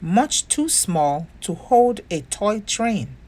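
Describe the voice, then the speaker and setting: A woman's voice: medium pitch, sing-song, takes it slowly, a little mellow. A woman voicing an eager, sweet young boy bear in a picture book, with earnest, childlike phrasing. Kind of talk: picture-book character reading.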